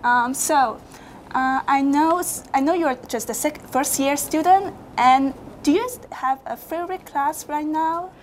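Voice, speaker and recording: Higher-voiced young Chinese woman, hesitant delivery. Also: unhurried, slight background noise, low-quality recording. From Guangzhou, China. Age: 20s. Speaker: student interviewer. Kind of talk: casual on-camera interview.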